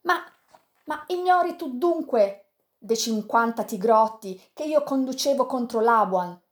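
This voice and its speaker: A woman reading an audiobook, voicing a proud male pirate chief who speaks softly and low in grief and fiercely in anger. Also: moderate pace, close to an everyday voice. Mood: furious.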